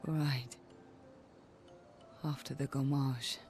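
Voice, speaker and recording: A woman voicing a quiet, wistful young woman in a video game cutscene, soft and reflective as she confides. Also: rumbling low, steady tone, extremely slow, full character voice. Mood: wistful, tender. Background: music.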